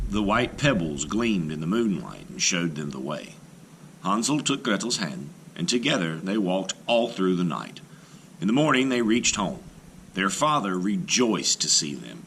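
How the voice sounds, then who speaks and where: A man, a teacher, reading a folktale aloud to children in an expressive, animated storytelling manner. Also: deep voice, moderate pace, a little hiss or hum, rough recording.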